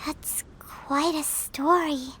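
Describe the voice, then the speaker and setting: A woman voicing a tiny, curious, earnest fairy companion with a squeaky childlike voice in a video game. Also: steady tone, extremely slow, pushed and bright, a little hiss or hum, heavily acted. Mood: sympathetic.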